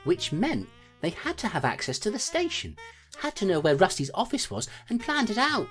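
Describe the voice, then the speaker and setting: A woman's voice: medium pitch, wildly swooping pitch, normal speed, faint music behind. A woman voicing a small clawed creature investigator, telling a grim story in clipped noir style for an audio drama. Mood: tense, regretful. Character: small clawed creature investigator, streetwise, grimly self-deprecating narrator.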